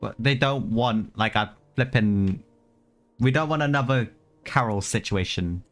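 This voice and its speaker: A man's voice: medium pitch, unhurried, fairly bright tone. A man streaming a video game, reacting casually with informal interjections and asides. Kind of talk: casual live gameplay reaction. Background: game music.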